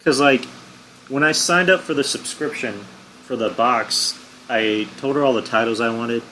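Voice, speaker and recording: Bright-toned young man, hesitant chat. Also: mid-range voice, moderate pace, a little hiss or hum, rough recording. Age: 20s. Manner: hesitant, lots of fillers. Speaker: podcast co-host. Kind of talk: casual chat.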